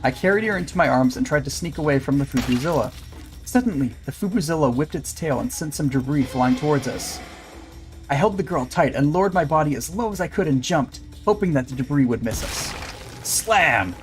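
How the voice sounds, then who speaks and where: A man reads a visual novel aloud for a livestream. His pitch moves around a lot as he narrates, and he slips into character voices for dialogue. Game music plays softly behind him. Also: animated, a little fast. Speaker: streamer. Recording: music under the voice.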